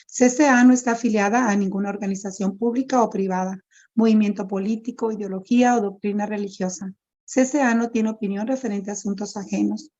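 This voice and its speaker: A woman moderating a support group meeting, reading the opening statements and prayer in a measured, formal way over a video call. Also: mid-range voice, warm tone, muffled recording.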